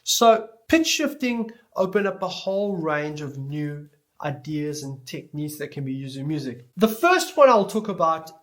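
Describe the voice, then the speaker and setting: A man giving an explanatory tutorial lecture as an instructor, speaking deliberately and stressing key words as he goes. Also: on the high side, very animated, fairly bright tone. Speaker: music production instructor.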